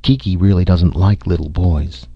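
A man's voice: low voice, steady tone, normal speed, breathy edge, dry recording, soft background music. A man voicing a sensitive, sick little boy who pleads in a faint, breaking voice in an audiobook. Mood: tearful. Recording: muffled audio.

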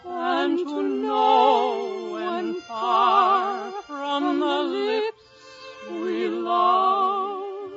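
A woman's voice: very high-pitched, drawn-out words, fairly bright tone, exaggerated voice. A woman singing in an operatic style for a 1950s radio musical. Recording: muffled vintage radio with orchestral music.

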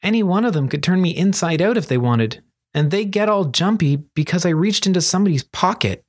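A man's voice: high-pitched, expressive, fairly quick, no echo, clean studio sound, pitched above the performer's own voice. A man voicing a defensive, self-deprecating young wizard schoolboy who protests and mumbles, in a storybook reading. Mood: defensive, glum.

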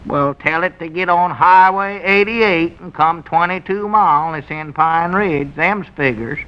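An actor playing a simple-minded, suspicious old country storekeeper in an old-time radio comedy, with a rural Southern accent and querulous, naive talk. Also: male voice, on the high side, unhurried, clean tone, lightly acted. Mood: naive puzzlement. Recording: muffled vintage radio recording.

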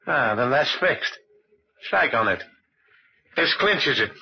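An actor playing a cocksure, coolly threatening gang boss, commanding and clipped, in an old-time radio crime drama. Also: male voice, medium pitch, lively intonation, slow pace, vocal fry, husky, heavily acted. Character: menacing, cocksure razor-carrying male gang boss. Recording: dull, noisy vintage radio recording.

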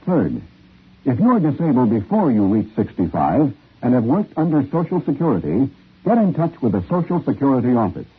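A man's voice: mid-range voice, big pitch swings, fairly quick, breathy edge. A man reading a scripted public service announcement on 1950s radio. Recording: tinny old radio recording.

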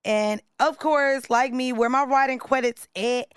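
A woman with a raspy voice co-hosting a podcast, chatting casually about new songs in a playful, hype, slangy way with frequent laughs. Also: sits high, steady tone, measured pace, no room sound, clean studio sound. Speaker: podcast co-host.

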